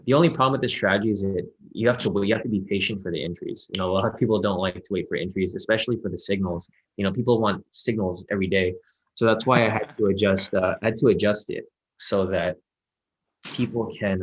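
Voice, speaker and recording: A man mentoring a student over a video call in a relaxed, reassuring way with many fillers. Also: deep voice, quick pace, slightly rough, poor audio. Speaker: trading mentor. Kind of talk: casual one-on-one mentoring call.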